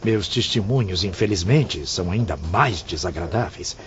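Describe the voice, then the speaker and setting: A man's voice: deep voice, expressive, moderate pace. A man with a Brazilian accent playing an anguished, repentant spirit in an audiobook drama, with grave, theatrical, formal diction. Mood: pained, regretful. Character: anguished, repentant male spirit confessing past vices. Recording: dull audio, faint ambient noise.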